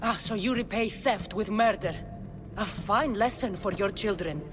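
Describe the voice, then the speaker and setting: A woman's voice: mid-range voice, normal speed, music in the background, close to an everyday voice. An actress playing a tough, blunt female mercenary in a video game, commanding and sardonic. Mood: stern, commanding. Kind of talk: video game dramatic acting.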